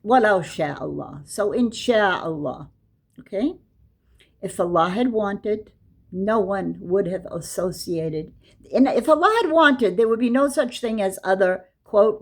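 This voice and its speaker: A woman giving a casual religious lesson, explaining verses and reciting Arabic. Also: medium pitch. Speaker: Quran teacher.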